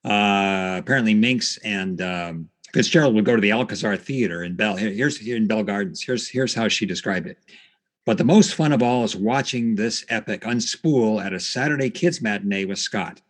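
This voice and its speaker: A man hosting a podcast, telling stories from notes in a conversational, somewhat halting way. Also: on the low side. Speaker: podcast host. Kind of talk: storytelling narration from notes, conversational.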